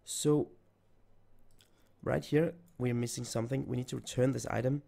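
Guy almost losing his voice, gravelly, walking thru code, keyboard clacking behind. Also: medium pitch, steady tone, moderate pace, warm tone. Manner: thinks aloud while typing code. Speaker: programming tutor. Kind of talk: screen-recorded coding tutorial.

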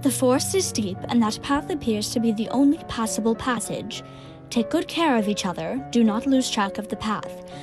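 A woman acts out a motherly monster in an audio drama. She is gentle and anxious, speaking in careful formal phrases with a highish, very expressive voice over faint music. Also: moderate pace, lightly acted. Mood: cautioning. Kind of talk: fan audio drama acting.

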